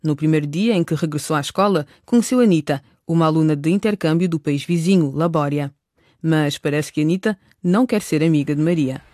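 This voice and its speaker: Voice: mid-range voice, fairly quick, a little mellow, no echo, clean studio sound. A narrator giving a scripted recap and introduction for a radio drama.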